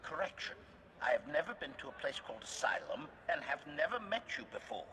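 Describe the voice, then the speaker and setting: An actor voicing a haughty, threatening assassin droid in a game, with formal pedantic speech and a robotic filter. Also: male voice, a little high, sing-song, fast talker, creaky, pushed and bright, exaggerated voice. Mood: haughty menace. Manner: announces each utterance type, formal wording. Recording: hall reverb, background noise.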